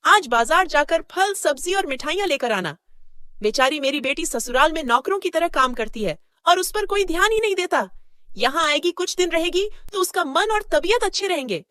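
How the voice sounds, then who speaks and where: A doting, bossy middle-aged mother-in-law in a storybook tale, put on by a woman narrator. She fusses and gives orders in a very high, bright voice. The sound is close and dry. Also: animated, normal speed, dry recording, full character voice.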